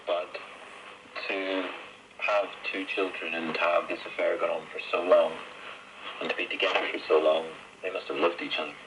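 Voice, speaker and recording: A man's voice: deep voice, flat delivery, moderate pace. A middle-aged man telling his personal story as a guest in a radio interview, heard over shortwave radio. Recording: very noisy, crackly shortwave radio reception.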